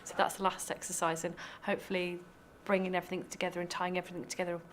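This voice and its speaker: A woman's voice: on the low side, little pitch movement, very fast, a little hiss or hum. A woman workshop organiser explaining activities in an interview. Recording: clear crowd chatter behind.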